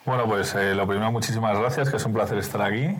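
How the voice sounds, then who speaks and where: A man's voice: on the low side, fast talker, slight background noise. A man with a Spanish accent, a company director speaking as a guest on a sales podcast, explaining his methods step by step. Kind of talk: podcast interview.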